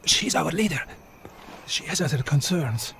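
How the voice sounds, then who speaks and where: Slow, high-voiced earnest partisan man. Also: animated, creaky, a bit bright, slight background noise, exaggerated voice. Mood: devoted, grave. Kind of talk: video game cutscene dialogue.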